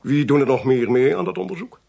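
An actor playing a drily witty, inquisitive gentleman investigator in a Dutch radio drama, with a theatrical, deliberate delivery. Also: medium pitch, creaky, slightly rough. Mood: curious, wry.

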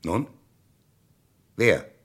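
A man acting a formal, authoritative police commissioner who deliberately questions suspects in a German radio crime drama. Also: fairly bright tone. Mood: stern, insistent. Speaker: actor.

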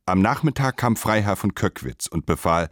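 Deep-voiced man narrating a radio drama. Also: lively intonation, moderate pace, no echo, clean studio sound. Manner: clipped, terse sentences.

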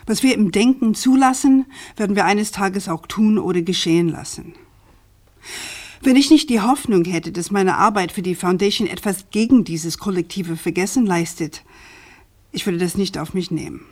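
An actress playing an earnest, persistent journalist in a radio drama, speaking in an articulate, deliberate, insistent way. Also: female voice, mid-range voice, darkish tone, close to an everyday voice. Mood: earnest, urgent. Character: earnest, persistent woman journalist challenging an official.

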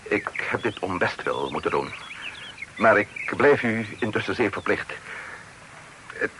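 An actor playing an anxious, evasive middle-aged gentleman in a Dutch radio play, speaking formally and haltingly. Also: on the low side, expressive. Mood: uneasy, polite. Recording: noisy old radio play recording.

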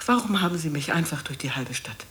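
An actress playing a bitter old woman in a radio drama, scolding and reproachful, halting and repeating herself. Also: low-pitched, lively intonation, a bit bright. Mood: indignant, reproachful. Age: older.

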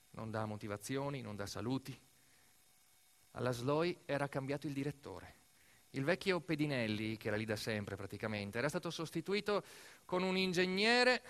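A man performing a narrative theatre monologue as a storyteller, emphatic and dramatic, swinging between hushed and raised delivery. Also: mid-range voice. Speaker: stage actor narrating a monologue.

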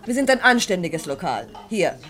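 Bossy, animated café manageress. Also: female voice, fairly low pitch, moderate pace. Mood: scolding. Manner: scolding, bossy. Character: stern, indignant café manageress. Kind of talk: radio play acting.

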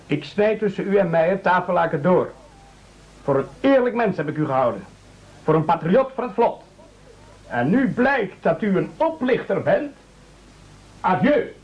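A man's voice: high voice, sing-song, moderate pace, pauses often. An actor in a radio play playing a greedy, fawning henchman with a theatrical, declamatory way of talking. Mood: outraged. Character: gluttonous, fawning, theatrically indignant male castaway henchman. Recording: dull, lo-fi radio play recording.

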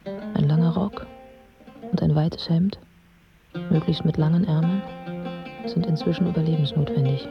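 An actress playing a reflective, wry young Iraqi woman, narrating a radio drama in a measured, intimate way. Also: sits low, muffled recording, music in the background. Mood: resigned.

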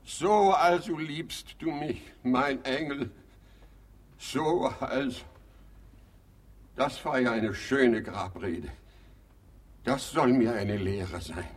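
A man's voice: medium pitch, slow, pauses often, slightly rough. A man playing a gullible older hypochondriac master of the house in a classic radio comedy, with a theatrical delivery. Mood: indignant, bitter. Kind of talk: German radio play acting of classic comedy.

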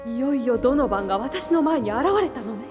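A woman's voice: fairly high pitch, expressive, fairly quick, natural voice. A woman playing a vain, seductive and cruel demon villainess in an audio drama, with an imperious, sultry manner. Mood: scheming. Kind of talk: Japanese audio drama acting. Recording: long reverb, music behind.

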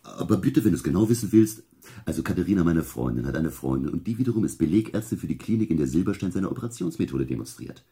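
An actor in a German radio drama playing a boastful ex-husband with a breezy, self-satisfied way of talking. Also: male voice, deep voice, animated, fast talker, mellow, breathy edge, exaggerated voice. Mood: confidential boasting. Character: boastful, wheedling Russian ex-husband with connections.

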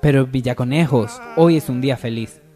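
A man's voice: medium pitch, moderate pace. A man giving solemn, scripted narration as the narrator of a parody radio soap. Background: music.

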